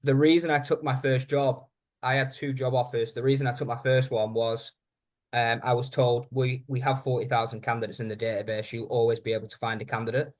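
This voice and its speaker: A man presenting a software training webinar in a conversational, informal way, speaking directly to his listeners. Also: mid-range voice, little pitch movement, fairly quick.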